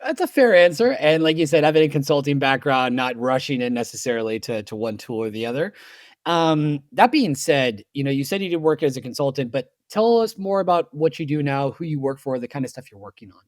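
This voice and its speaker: A man's voice: mid-range voice, animated, a little fast, dry recording. Easygoing male podcast host, dry sound. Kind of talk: interview questions.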